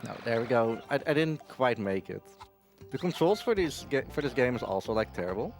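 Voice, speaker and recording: A man giving casual live commentary as he plays a game, halting and distracted. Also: medium pitch, very animated. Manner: halting, distracted while playing. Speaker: speedrunner. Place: live gaming marathon at a hotel event. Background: faint game music.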